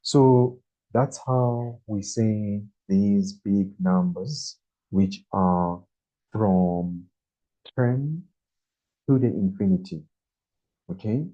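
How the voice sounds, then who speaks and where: Guy teaching english numbers super slow, says each one twice, low voice, kinda muffled recording. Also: warm tone. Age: 20s. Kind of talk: beginner English lesson. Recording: dull lo-fi recording.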